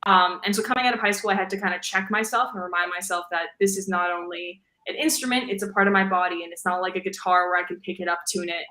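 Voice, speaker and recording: A young woman singer with a voice deep for a woman, chatting casually in an interview and filling her talk with "like" and "um.". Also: fairly even intonation, fast talker, few pauses, pushed and bright, roomy sound, low-quality recording. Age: young adult. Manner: chatty, fills with "like" and "um", laughs easily. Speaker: guest singer.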